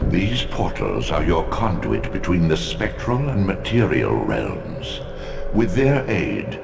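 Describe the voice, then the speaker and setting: Casting: primordial god for a fantasy game; imperious, swooping delivery drenched in long cavernous reverb, music behind. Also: male voice, very deep voice, full character voice. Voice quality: cavernous, gravelly. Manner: slow, grave, imperious pronouncements. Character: ancient god-like underworld being, commanding and solemn. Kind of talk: theatrical video game voice acting.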